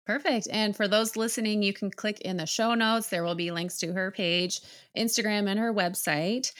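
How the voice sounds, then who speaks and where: A woman hosting a podcast, chatting casually and asking her guest upbeat quick-fire questions. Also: medium pitch, steady tone, fairly bright tone. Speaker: podcast host. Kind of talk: casual interview chat.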